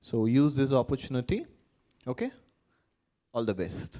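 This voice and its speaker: A man's voice: mid-range voice, sing-song, drawn-out words, mellow. A man speaking as an audition judge to contestants, mixing English phrases into his speech. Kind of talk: addressing contestants on a TV singing show.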